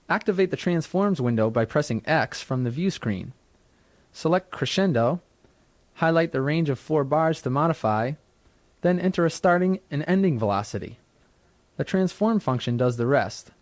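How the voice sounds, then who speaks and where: A man reading scripted instructional narration as a software tutor, in a measured, explanatory manner. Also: on the high side, expressive, dry recording. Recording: dry studio narration from a 1990s tutorial cassette.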